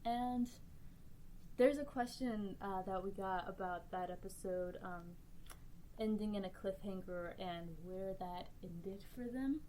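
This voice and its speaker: A woman's voice: mid-range voice, unhurried, dark tone, rough recording. Earnest woman writer, light faint hiss. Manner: earnest, laughs easily, many fillers. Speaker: podcast writer. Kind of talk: casual round-table discussion.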